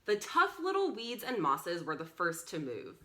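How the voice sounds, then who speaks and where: A woman's voice: on the low side, wildly swooping pitch, normal speed, a bit bright. A woman presenting a read-along story for young children, reading expressively and adding encouraging asides to her little listeners.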